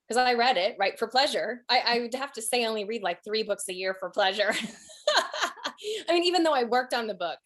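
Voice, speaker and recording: A woman speaking casually as an interviewed audiobook narrator, telling anecdotes in an emphatic, halting, expressive way. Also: fairly high pitch.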